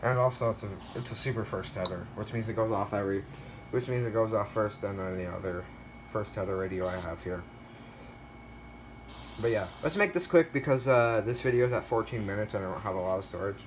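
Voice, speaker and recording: A man's voice: fairly low pitch, brisk. Hesitant man casually narrating over background noise. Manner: hesitant, rambling, self-correcting. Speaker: video creator showing a weather radio collection. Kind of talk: casual show-and-tell narration.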